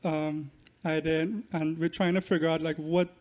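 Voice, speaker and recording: A man's voice: fairly high pitch, level tone, normal speed, darkish tone. A young man, a podcast guest explaining things in casual interview talk. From Trinidad and Tobago. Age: young adult. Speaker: podcast guest, musician and student.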